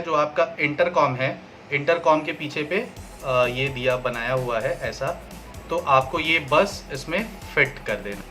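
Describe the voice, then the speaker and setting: A man's voice: on the high side, bright, forceful tone. A man giving a casual, step-by-step product review and how-to, dropping English phrases into his talk. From India.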